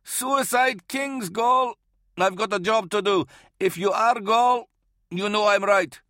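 A man's voice: high-pitched, slow, no echo, pitched above the performer's own voice. A man voicing a wary, stubborn soldier in an audiobook, crude and defiant as he calls out. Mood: defiant, suspicious. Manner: defiant yelling.

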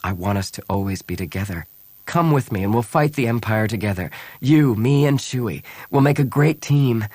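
A man's voice: on the low side, animated, normal speed, darkish tone, no echo, pitched above the performer's own voice. A man voicing an earnest young rebel woman commander in an audiobook, speaking in an emotional, pleading way. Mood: tender. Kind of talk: audiobook character acting.

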